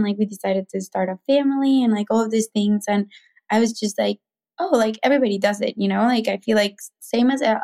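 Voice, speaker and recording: A woman's voice: medium pitch, a little mellow. Chatty Mexican woman guest. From Mexico City. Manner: chatty, many fillers like "like" and "you know". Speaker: guest, business founder. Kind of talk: casual podcast conversation.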